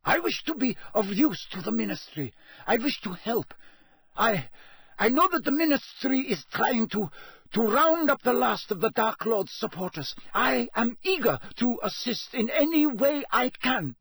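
A man's voice: extremely high pitch, big pitch swings, fairly bright tone, breathy edge, no room sound, pitched above the performer's own voice, exaggerated voice. A man in a storybook reading, voicing an unctuous, panicky prisoner who pleads breathlessly and stammers. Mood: unctuous, eager. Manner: breathless, hurried, stammering, ingratiating.

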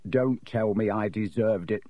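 A man's voice: fairly low pitch, unhurried, no echo. A frightened teenage boy mutters faintly with dread, as a male narrator puts him on. The voice stays close to the reader's own. The recording is dry and a little dull.

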